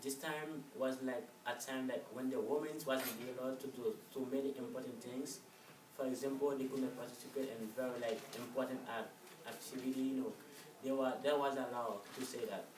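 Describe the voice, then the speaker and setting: A man giving an informal presentation as a correspondent, halting and repetitive, with emphatic non-native phrasing. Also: medium pitch, steady tone. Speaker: correspondent in amateur show. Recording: noisy echoey room, distant mic.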